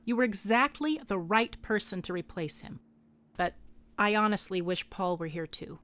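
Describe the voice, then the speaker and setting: A woman's voice: medium pitch, moderate pace, fairly bright tone, natural voice. A woman playing a plain-spoken, wry private investigator in a radio drama, informal in manner. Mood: earnest, supportive. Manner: sardonic, informal. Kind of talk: contemporary radio drama acting.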